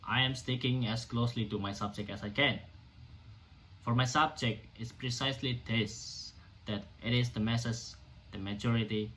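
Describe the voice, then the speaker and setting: Defiant doctor in a stage play, acted by an amateur male reader, medium pitch, declamatory and indignant, slightly noisy recording. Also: a bit bright, lightly acted. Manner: emphatic, declamatory, halting in places. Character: defiant, indignant doctor orating to a hostile crowd.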